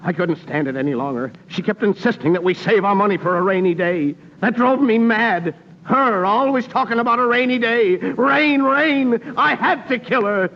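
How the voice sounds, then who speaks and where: A man's voice: sits high, moderate pace, slight background noise. A man playing a comically anguished, melodramatic husband confessing to murder in a 1940s radio comedy. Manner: melodramatic, repetitive. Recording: muffled old radio broadcast.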